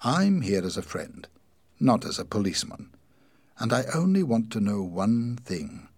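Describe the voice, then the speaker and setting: A man voicing a firm, probing chief inspector in an audiobook, speaking in a measured, formal, insistent way. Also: lowish voice, big pitch swings, darkish tone, no echo. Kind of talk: audiobook character dialogue.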